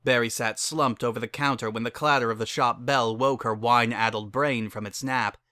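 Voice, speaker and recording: A man's voice: mid-range voice, steady tone, normal speed, sharp tone, no echo, clean studio sound. A man narrating a piece of fan fiction as a dramatic reading. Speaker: story narrator.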